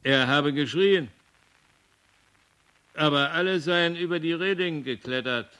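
A man's voice: a little high, unhurried, bright, forceful tone, clear, resonant tone. A man in a radio play, playing a sober witness reporting testimony. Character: sober male witness reporting another's claims.

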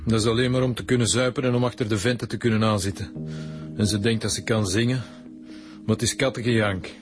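An actor playing a crude, jealous, aggressive husband in a radio play, with a Flemish accent and a contemptuous, belligerent manner. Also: male voice, fairly low pitch, steady tone, quick pace, loud music behind the voice, natural voice. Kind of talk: radio drama acting.

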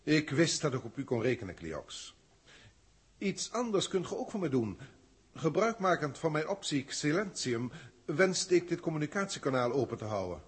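A detached alien overseer, speaks in a formal, clinical way. His pitch rises and falls in wide swings over a husky, rough tone. It sounds like an early 1960s radio drama. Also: sing-song, moderate pace, exaggerated voice. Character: cold alien overseer testing humans, middle-aged man. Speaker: senior proctor reporting to a galactic council.

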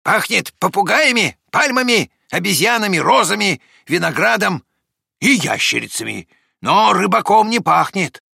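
A man voicing an excitable, urgent female dog in an animated children's storybook reading, exclaiming insistently. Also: high-pitched, moderate pace, vocal fry, slightly rough, no echo, studio quality, voice pushed up, heavily acted. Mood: sniffing, declaring.

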